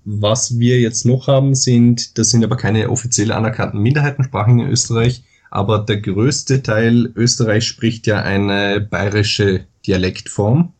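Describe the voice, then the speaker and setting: A low-voiced Austrian man from the far west of the country speaks as a podcast guest. He explains things at length in careful standard German and pauses often with filler sounds. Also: fairly even intonation, a little mellow. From Vorarlberg, western Austria. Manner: measured, explanatory, with many hesitations. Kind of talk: podcast interview.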